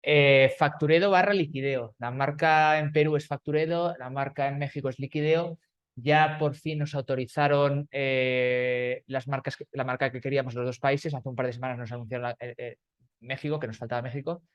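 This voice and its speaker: A man's voice: mid-range voice, steady tone, normal speed, smooth, dull audio. A man from Spain, a startup founder speaking as a guest, talking loosely with fillers and restarts over a call. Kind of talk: conversational interview. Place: remote call.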